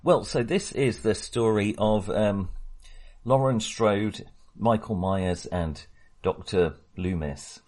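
A man's voice: fairly low pitch, takes it slowly, a little mellow. A man co-hosting a casual film discussion, talking in a hesitant, wry and opinionated way. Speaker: podcast co-host.

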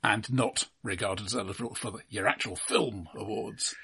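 A man's voice: on the low side, steady tone, moderate pace, gravelly, bright, forceful tone, breathy edge. A man in a casual film discussion, talking in a dry, wry, analytical way. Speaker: film podcast co-host.

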